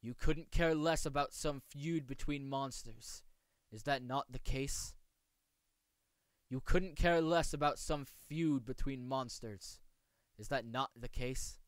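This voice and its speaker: Man acting coolly formal young swordsman, crisp voice. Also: mid-range voice, dry recording, natural voice. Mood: cool, composed. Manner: formal, measured, precisely enunciated. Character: polite, aloof young male swordsman, coolly menacing. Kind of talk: amateur voice acting showcase of manga lines.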